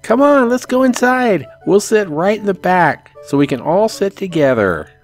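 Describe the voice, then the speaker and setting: A man voicing a bright, eager teenage schoolgirl in an anime visual novel, perky and enthusiastic. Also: high voice, very animated, moderate pace, soft background music, voice pushed up. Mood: upbeat.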